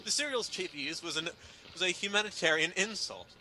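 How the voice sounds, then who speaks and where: A man's voice: high-pitched, brisk, noisy background. A man giving a dramatic comedic reading of an article, with an emphatic, mock-indignant, sardonic delivery. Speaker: reader performing a humor article.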